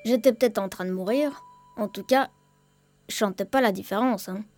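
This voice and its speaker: A woman's voice: medium pitch, normal speed, a little mellow, lightly acted. A woman playing a cheeky fourteen-year-old boy who tells his own story in a plain, colloquial, childlike way, as in an intimate radio drama. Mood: matter-of-fact, candid. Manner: colloquial, matter-of-fact, childlike. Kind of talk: intimate first-person radio drama narration. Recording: music faintly behind a dry studio voice.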